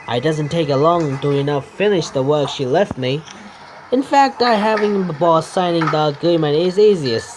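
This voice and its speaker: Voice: medium pitch, darkish tone, low-quality recording. A streamer narrates a visual novel in a let's play, with some noise behind the voice. The reading is casual and stumbles, with self-corrections, swearing and offhand remarks. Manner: stumbles over words, corrects misreadings, swears.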